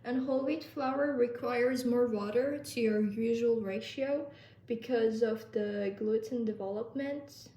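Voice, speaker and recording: Young woman, relaxed chatty baking vlog, high-ish voice, echoey kitchen, distant mic. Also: unhurried. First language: Turkish. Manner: relaxed, chatty, many fillers. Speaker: vlogger. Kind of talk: casual baking vlog narration. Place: home kitchen.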